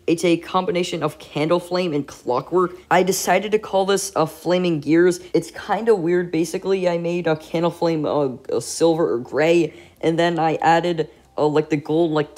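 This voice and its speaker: A man's voice: a little high, fairly even intonation, moderate pace, darkish tone. Young man, blocked nose, nasal, casual gaming voice-over, lots of uh fillers, home mic. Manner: rambling with frequent "uh" fillers. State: congested, stuffy nose. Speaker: gaming YouTuber.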